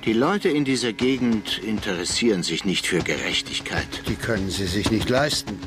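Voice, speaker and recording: A weary small-town man with a very deep voice. He sounds sombre and resigned, in radio drama style. Music plays clearly behind him. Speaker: character narrator in a radio drama.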